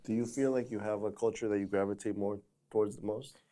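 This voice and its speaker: Male co-host, low mellow voice, casual conversational reactions. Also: steady tone, quick pace. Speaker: podcast co-host. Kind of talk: casual chat.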